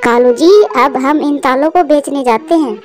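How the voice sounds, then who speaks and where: High-voiced bossy crow wife Gori acted by a woman. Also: normal speed, soft background music, close to an everyday voice. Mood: scheming. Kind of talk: Hindi cartoon dialogue.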